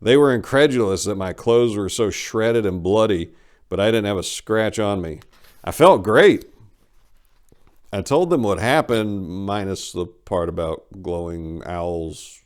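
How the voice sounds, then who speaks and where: A man giving an expressive, theatrical reading of a first-person short story as its narrator. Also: lowish voice, measured pace, clean tone.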